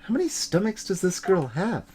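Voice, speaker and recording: A man's voice: sits high, big pitch swings, moderate pace, a little mellow, a little hiss or hum, voice pushed up. A man voicing an earnest young male defense lawyer from a video game, in a dry, skeptical manner. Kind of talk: voiced visual novel dialogue.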